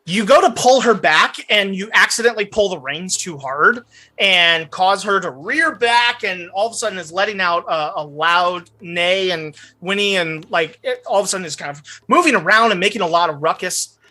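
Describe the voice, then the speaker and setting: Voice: medium pitch, moderate pace, sharp tone. A game master improvising tabletop narration, describing scenes conversationally and speaking straight to the players. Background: background music.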